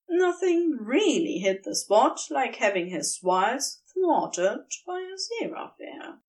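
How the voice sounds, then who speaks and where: Slow, lively woman reading a story. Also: on the high side, far from the mic, poor audio. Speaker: podfic reader. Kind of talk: audiobook-style fiction reading.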